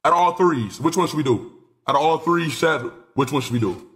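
A nineteen-year-old man streaming live from a small room on a headset mic, excitable and rambling, swearing freely. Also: fairly high pitch, a little fast. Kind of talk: casual live stream chat.

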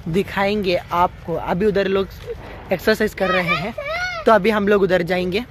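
A man's voice: high-pitched, steady tone, moderate pace, background noise. Chatty young man vlogging in a park. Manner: chatty, repeats "guys" often, mixes English words into Hindi. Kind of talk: casual vlog walk-through. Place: outdoor city park. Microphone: handheld phone.

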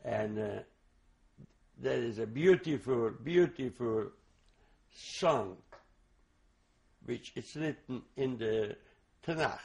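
Slow, gravelly older man recalling. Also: medium pitch, lively intonation. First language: Hungarian. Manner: halting, many restarts and fillers. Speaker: interviewee. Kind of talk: oral history testimony.

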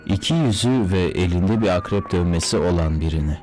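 A man voicing a confident, composed detective hero in a dubbed cartoon, smooth-talking and cool. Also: sits low, animated, fairly quick, a little mellow, soft background music. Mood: focused.

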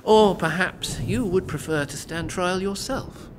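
A man's voice: sits high, unhurried, a little hiss or hum. A man playing a cold, imperious medieval bishop who threatens and commands in an audio drama. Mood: menacing. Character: menacing, domineering medieval bishop acting as judge.

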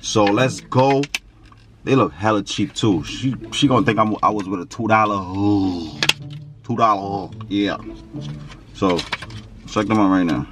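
A man's voice: measured pace, pauses often. A young man vlogging to a dashboard camera from inside a car, chatty and playful with his viewers, then pleading innocence to his girlfriend. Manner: playful, then pleading and high-pitched in denial. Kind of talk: casual vlog to camera. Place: inside a parked car, outdoors in summer heat. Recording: car interior with background noise.

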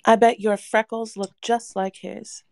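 A woman's voice: a little high, little pitch movement, unhurried, gravelly, no echo, clean studio sound. A woman voicing an affectionate, teasing mother at a screenplay table read. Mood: teasing, tender. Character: affectionate, teasing young mother in science fiction script.

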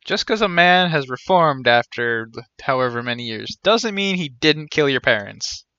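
Bright-voiced, wry man streaming games. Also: medium pitch, animated, moderate pace, no room sound, dull audio. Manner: joking, wry. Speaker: game streamer. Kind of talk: casual live game commentary. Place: small indoor room, seated.

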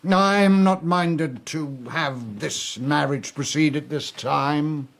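An actor playing a wily, suspicious old king with a gravelly, sardonic voice in a historical audio drama. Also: on the high side, takes it slowly. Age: older. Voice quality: gravelly, husky. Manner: sardonic, commanding. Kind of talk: historical radio drama acting.

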